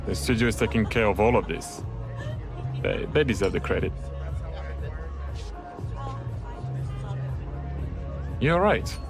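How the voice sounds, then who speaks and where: A man's voice: fairly low pitch, very animated, a little fast, over music, a crowd in the background. An actor playing a sociable, laid-back man in a video game cutscene, talking in casual, clipped phrases. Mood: laid-back, friendly.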